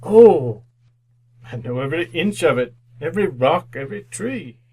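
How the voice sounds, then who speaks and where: A high-voiced, fretful old miser. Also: male voice, lively intonation, normal speed, dark tone, breathy edge. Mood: wondering. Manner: hesitant, pleading, repeats himself. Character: frightened, querulous old male miser. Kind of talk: old-time radio drama acting.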